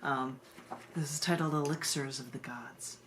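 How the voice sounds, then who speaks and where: A woman from the United States speaking as a poet, moving between informal, excitable introductions and deliberate, dramatic readings of her own verse. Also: rumbling low, background noise.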